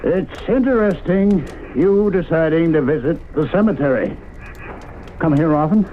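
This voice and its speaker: A man's voice: on the high side, animated, normal speed, natural voice. An actor playing a sly, pushy small-town man who is getting on in years, pressing his point in a 1970s radio drama. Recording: narrow-band, noisy old radio recording.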